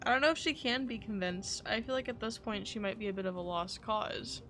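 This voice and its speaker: A woman's voice: mid-range voice, brisk, fairly bright tone. A woman game streamer giving casual live commentary, musing aloud to herself. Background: faint game music.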